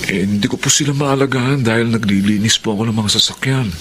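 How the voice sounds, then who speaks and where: A man's voice: medium pitch, fast talker, fairly bright tone, a little hiss or hum, natural voice. A man playing an emotional young father and reformed addict in a Filipino radio drama. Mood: humble, grateful.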